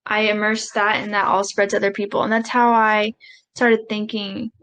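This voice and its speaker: A woman voicing a live sign language interpretation of a speech, halting, with pauses and self-corrections. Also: medium pitch, level tone, fairly bright tone, rough recording.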